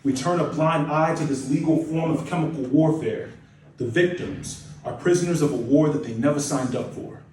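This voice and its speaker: A young American man reciting spoken word poetry with dramatic, deliberate phrasing and emphatic repetition. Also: fairly high pitch, a little mellow. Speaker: spoken word poet, nursing student. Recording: echoey room, distant mic, faint noise.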